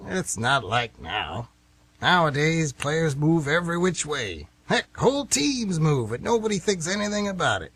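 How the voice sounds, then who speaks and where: A man's voice: a little high, sing-song, unhurried, fairly bright tone, slightly rough, heavily acted. A man playing a folksy, nostalgic older baseball fan who rambles emphatically in an amateur radio play. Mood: nostalgic, animated.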